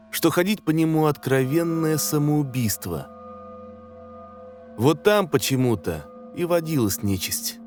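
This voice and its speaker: A man reading a scary story as a dramatic scripted narration, in a measured, suspenseful way. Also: fairly high pitch. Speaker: narrator of horror stories. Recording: music under the voice. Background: background music.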